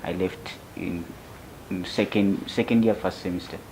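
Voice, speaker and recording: Ugandan man in his twenties, interview guest; very low, gravelly voice, slow and soft-spoken, faint hiss behind. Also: steady tone. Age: 20s. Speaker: young tech founder, interview guest. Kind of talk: interview answers.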